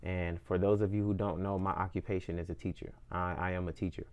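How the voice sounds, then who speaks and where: A man's voice: sits low, level tone, quick pace, a little mellow. A man in his twenties talking earnestly about his music and goals in a reflective personal monologue, often repeating and restarting phrases as he thinks aloud. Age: 20s. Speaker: independent musician.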